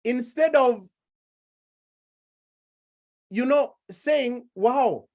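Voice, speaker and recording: A woman delivers a sermon at a slow, measured pace. Her voice sits a little high, and she stacks repeated phrases to build each point. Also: darkish tone. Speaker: preacher.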